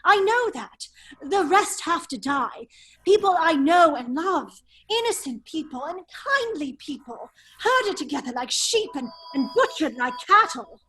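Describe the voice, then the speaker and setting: Woman playing a high-voiced daring English gentleman hero. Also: very animated, a little slow, heavily acted, poor audio. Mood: grave, firm. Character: daring English baronet hero, a man leading a secret double life. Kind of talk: table read of a 1930s-style radio drama.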